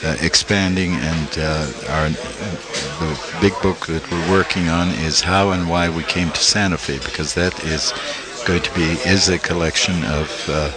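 An older man from Italy, a small press publisher, being interviewed and speaking haltingly with many fillers and restarts. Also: sits low, steady tone, a loud crowd. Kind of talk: interview conversation.

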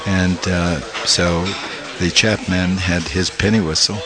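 An older man from Italy, a small press publisher, being interviewed and speaking haltingly with many fillers and restarts. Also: low-pitched, fairly even intonation. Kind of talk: interview conversation.

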